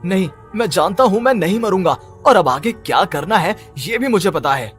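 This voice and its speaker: A man's voice: high-pitched, lively intonation, normal speed, soft background music. A man playing a panicky, haunted male writer who talks in agitated, repetitive disbelief in a horror cartoon story. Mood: resolute. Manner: agitated, repeats himself in disbelief.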